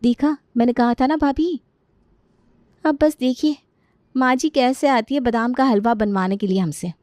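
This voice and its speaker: A suspicious young bride acted by a woman in an audio story, outspoken and insistent. Her voice is high, recorded dry and close. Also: normal speed, darkish tone, no echo, natural voice. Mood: insistent, resentful.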